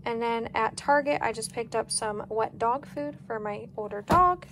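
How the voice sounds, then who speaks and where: A woman's voice: a little high, normal speed, fairly bright tone. An American woman talking casually and plainly as a home vlogger, easygoing, recorded on a handheld device in a kitchen. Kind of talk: casual grocery haul and meal plan narration. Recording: noticeable background noise, home kitchen.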